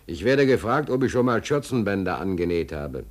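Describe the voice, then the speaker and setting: A stateless drifter in a German radio play. His voice is low and moves freely, yet the delivery stays dry and laconic, with music behind it. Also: brisk. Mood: deadpan, laconic. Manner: laconic, deadpan. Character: wry, stateless male drifter recounting his prison days. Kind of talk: German radio play acting, dry first-person narration.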